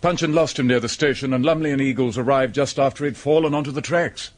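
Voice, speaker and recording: An actor playing a serious, sober police detective with an English accent in a radio drama. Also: male voice, mid-range voice, fairly even intonation, quick pace, close to an everyday voice. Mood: grave.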